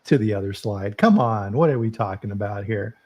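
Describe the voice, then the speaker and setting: A man's voice: on the low side, fairly quick, a little mellow, highs cut off, slight background noise. Chatty, joking male webinar host. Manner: jokey, upbeat, chatty. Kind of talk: casual live webinar chat.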